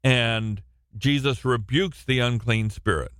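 A man with a loud, carrying voice teaches a Bible passage from a radio studio. He speaks slowly, often doubling back on his words before going on. The sound is dry and close, with no room around it. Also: medium pitch, dry recording. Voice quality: loud, projecting voice. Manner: halting, with frequent restarts and repeated words.

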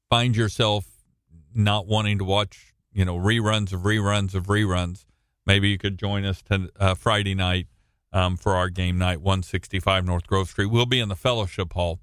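A man preaching a sermon and reading scripture into a computer microphone. He has a booming voice and speaks deliberately, with frequent ums. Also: steady tone, fairly bright tone, clear, resonant tone, dry recording, clean studio sound. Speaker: pastor.

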